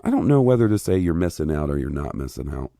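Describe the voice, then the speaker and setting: A man in his thirties co-hosting a podcast in casual chat, rambling and profane, getting excitable. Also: rumbling low, fast, gravelly, no room sound, studio quality. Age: 30s. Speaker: podcast co-host.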